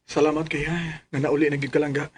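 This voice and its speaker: An actor playing an affectionate, wistful young provincial boyfriend with a Cebuano Filipino accent in a radio drama. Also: male voice, a little high, level tone, fast, slight background noise, close to an everyday voice. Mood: longing, tender.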